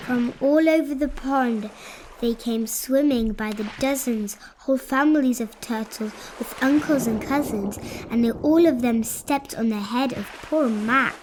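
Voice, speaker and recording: A woman reading rhyming narration aloud for children in an animated, expressive storytelling style. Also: on the high side, a little slow, a little hiss or hum, rough recording. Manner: dramatic, expressive.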